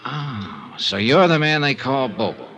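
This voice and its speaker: An actor playing a tough, wry American investigator in a clipped, hard-boiled old radio drama style. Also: male voice, mid-range voice, big pitch swings, a little slow, a crowd in the background. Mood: cool, probing. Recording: old radio recording with music.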